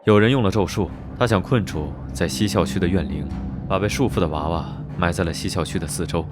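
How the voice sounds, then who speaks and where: An actor playing a teasing, scheming young noble duke, self-assured and drawling, in an animated drama dub. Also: male voice, deep voice, a little fast, close to an everyday voice. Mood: smug. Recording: background music under dialogue.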